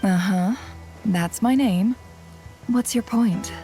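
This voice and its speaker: A woman's voice: medium pitch, slow, dark tone, faint music behind, close to an everyday voice. A woman playing a blunt, dry-witted young fighter in a video game cutscene, curt and sardonic.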